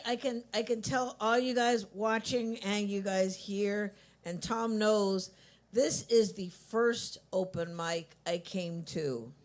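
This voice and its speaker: A woman hosting a coffee shop open mic, chatting in a rambling, easygoing way with lots of restarts. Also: mid-range voice. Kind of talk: casual chat.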